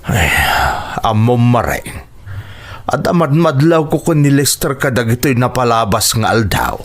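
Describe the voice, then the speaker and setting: A man's voice: medium pitch, lively intonation, a little slow. A man playing a blunt, grumbling man of the household in an Ilocano radio drama, speaking emphatically. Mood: surprised, grumbling.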